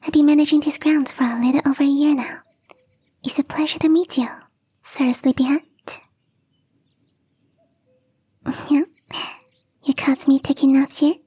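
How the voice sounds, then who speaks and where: A woman voicing a young catgirl gardener in an ASMR roleplay, teasing, coy and playfully smug, with a breathy, whispery voice. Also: high voice, steady tone, fairly quick, dark tone, no room sound, lightly acted. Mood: playful, friendly. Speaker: voice actress.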